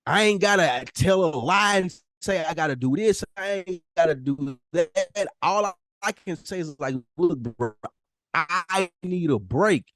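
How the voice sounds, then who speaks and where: A man's voice: on the high side, animated, unhurried, fairly bright tone, no room sound. A man co-hosting a podcast in casual, candid conversation, speaking earnestly and emphatically with plenty of swearing. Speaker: podcast co-host.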